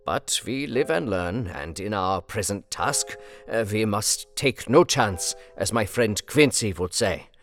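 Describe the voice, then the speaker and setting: A man voicing a wise elderly foreign male professor who speaks slowly, formally and earnestly. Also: on the low side, lively intonation, fairly bright tone, faint music behind.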